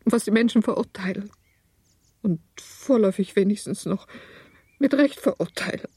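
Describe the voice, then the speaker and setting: An actress playing a middle-aged wife and mother in a radio play, principled and stern, with a measured, insistent, husky delivery. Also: mid-range voice, warm tone, dry recording. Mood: reproachful, insistent.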